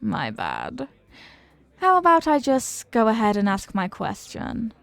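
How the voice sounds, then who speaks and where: A woman's voice: a little high, wildly swooping pitch, a little slow, gravelly, fairly bright tone, no room sound, heavily acted. A woman playing a flirty, confident, playful stranger in an intimate roleplay, with a teasing, coy, sweet-talking manner. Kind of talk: intimate roleplay audio acting.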